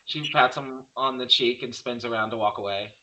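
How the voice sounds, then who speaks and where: A man's voice: mid-range voice, steady tone, moderate pace, pushed and bright, rough recording. A man reading out screenplay stage directions as a narrator.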